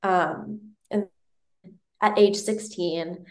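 A young woman from New York, a podcast guest telling her personal story in a bright, giggly way. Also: lowish voice, steady tone, drawn-out words. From United States, New York.

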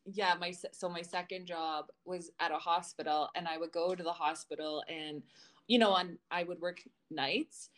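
A woman's voice: fairly low pitch, steady tone, moderate pace, fairly bright tone. A woman co-hosting a podcast in casual chat, telling personal stories in a candid, informal way with some swearing. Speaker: podcast co-host.

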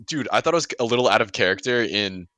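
Animated man chatting, close and dry. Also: lowish voice, fast, no echo. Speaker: podcast panelist. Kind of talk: casual chat.

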